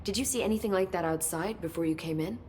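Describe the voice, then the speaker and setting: A helpful woman researcher in a game, played by a woman, explains strange science with chatty, nerdy eagerness. Her voice sits fairly low and easy. A faint rumble sits under the dialogue. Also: quick pace, darkish tone, natural voice. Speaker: research scientist guiding the hero.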